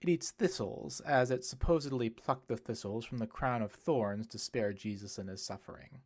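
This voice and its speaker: A man voicing a kindly, musing priest in an audiobook, speaking in a reflective, explanatory way with gentle wit. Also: medium pitch, moderate pace, natural voice. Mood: thoughtful. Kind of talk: audiobook character dialogue.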